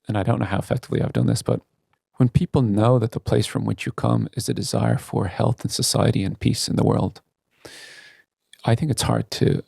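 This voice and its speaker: A man's voice: lowish voice, creaky, warm tone, breathy edge, no room sound. A man speaking as a CEO guest in a candid podcast interview, earnest and emphatic about his opinions.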